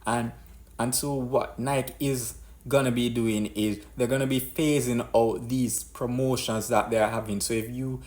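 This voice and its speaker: A man hosting an unscripted business commentary, explaining in a deliberate way and often rephrasing his points. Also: medium pitch, fairly even intonation, darkish tone. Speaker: host of a business commentary show.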